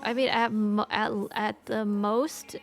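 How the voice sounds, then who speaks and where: A woman's voice: mid-range voice, little pitch movement, takes it slowly, soft background music. A woman from the United States chatting casually on a stream, rambling with many fillers and restarts. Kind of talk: casual chat.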